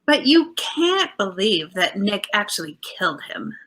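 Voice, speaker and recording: An actress playing a detective's loyal, insistent wife in 1940s radio style, emphatic and pleading. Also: female voice, medium pitch, sing-song, measured pace, fairly bright tone, poor audio. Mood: exasperated.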